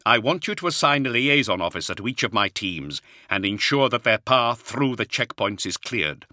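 A man voicing a commanding, grim warrior leader in an audiobook, giving curt, measured orders. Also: medium pitch, lively intonation, pushed and bright, dry recording, clean studio sound, voice pushed up. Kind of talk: audiobook character acting.

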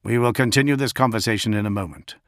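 Man voicing a stern, noble commander, gravelly and formal. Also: lowish voice, fairly bright tone, no echo, studio quality. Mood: brisk. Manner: formal, measured, authoritative. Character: noble commanding male warlord, earnest, stern, suspicious. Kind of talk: dramatic audiobook character.

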